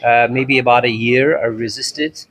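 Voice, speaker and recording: A Turkish-born man in his forties talks about his life in dance. His storytelling is relaxed and reflective, and he acts out past conversations as he goes. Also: medium pitch, unhurried. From Turkey. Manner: thoughtful, narrates in chapters, acts out dialogue. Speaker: tango instructor, interview guest.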